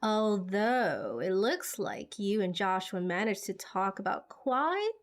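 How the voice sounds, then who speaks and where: A woman's voice: mid-range voice, sing-song, slow pace. A woman voicing a teasing but concerned older-sisterly young woman in game cutscenes. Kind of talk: amateur game cutscene voice acting. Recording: music behind the voice.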